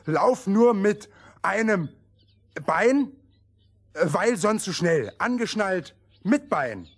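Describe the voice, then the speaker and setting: An actor playing an eager, simple fairy-tale runner who talks in clipped, broken sentences with a husky voice. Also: male voice, high-pitched, very animated, slow pace, exaggerated voice. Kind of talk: children's fairy-tale audio play.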